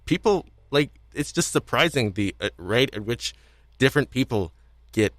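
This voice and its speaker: A man hosting a podcast, talking casually about audio dramas in a halting, hedging way and often chuckling. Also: mid-range voice, sing-song, fairly bright tone, no room sound. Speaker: podcast host.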